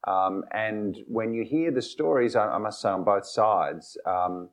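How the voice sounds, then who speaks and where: A man moderating a live discussion, picking questioners and asking pointed follow-up questions briskly. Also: lowish voice. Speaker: moderator, think-tank director.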